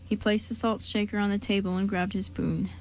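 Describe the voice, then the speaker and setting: A woman narrates a scripted story for a radio play. Her voice sits at an average pitch, with a little noise in the background. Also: flat delivery, fairly quick, a little mellow, rough recording.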